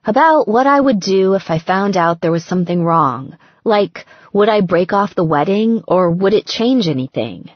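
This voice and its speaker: A woman's voice: medium pitch, animated, normal speed, hardly any pauses, no room sound, lo-fi sound, close to an everyday voice. A woman voicing a frantic, bossy, dramatic bride-to-be in an audiobook, emphatic and slangy. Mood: earnest, teasing. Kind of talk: audiobook character dialogue.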